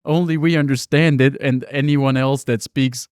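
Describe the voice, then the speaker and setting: Clear-voiced man hosting a casual podcast. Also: medium pitch, steady tone, moderate pace, a little mellow, no room sound, clean studio sound. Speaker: podcast host.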